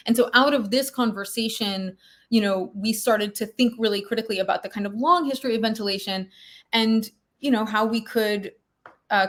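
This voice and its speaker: Woman historian chatting on a podcast, academic but conversational, thinks as she goes, medium pitch. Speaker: guest, historian.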